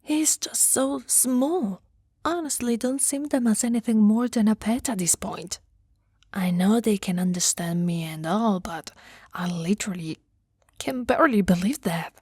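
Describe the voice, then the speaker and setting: A woman's voice: mid-range voice, measured pace, darkish tone, slightly rough, no echo, clean studio sound. A woman playing a grumpy, disdainful giantess with a blunt, grudging manner in an ASMR roleplay. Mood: blunt, defensive. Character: grumpy, disdainful giantess secretly softening toward tiny human pet.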